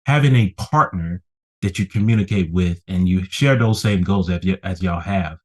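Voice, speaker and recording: Husky low-voiced male podcast host. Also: normal speed. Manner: encouraging, rambling questions. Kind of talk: casual interview talk.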